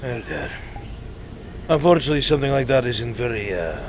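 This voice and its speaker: A man's voice: mid-range voice, big pitch swings, background noise, natural voice. A man playing a courteous, bewildered traveller in a roleplay game, with formal old-fashioned wording. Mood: polite, concerned. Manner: formal, polite, measured wording. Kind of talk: live improvised roleplay acting.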